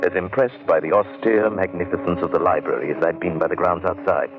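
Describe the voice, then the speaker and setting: Actor as earnest, low-voiced gentleman adventurer on old radio. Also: a little fast, phone-quality sound, over loud music, natural voice. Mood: grave, wondering. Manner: courteous, earnest, wondering. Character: concerned English businessman narrating an eerie African adventure. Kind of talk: 1940s-50s radio drama acting and narration. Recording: band-limited old-time radio recording.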